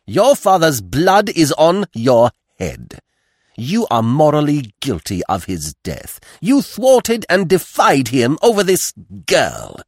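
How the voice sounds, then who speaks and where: A man voicing a stern, wronged older widow who speaks slowly and imperiously, in a declamatory audiobook style. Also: fairly high pitch, huge pitch swings, breathy edge, no echo, clean studio sound, voice pushed up, full character voice. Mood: furious, renouncing.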